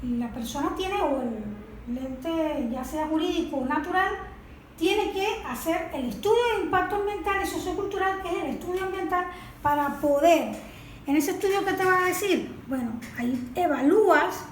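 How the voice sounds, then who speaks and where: Venezuelan woman, expert interviewee, didactic and high-pitched, recorded in a very reverberant room with faint noise. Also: lively intonation, moderate pace. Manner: didactic, asks and answers own questions. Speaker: environmental expert guest. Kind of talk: explanatory interview talk.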